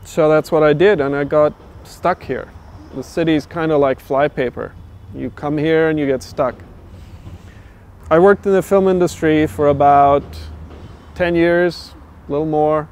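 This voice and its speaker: A middle-aged man speaks in a documentary interview about building guitars. His voice is smooth and resonant and sits a touch higher than most men's. He talks in a considered, unhurried way, often stopping to think with an "uh". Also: a little mellow. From Austria. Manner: thoughtful, measured, with frequent "uh" pauses. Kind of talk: documentary interview, reflective storytelling.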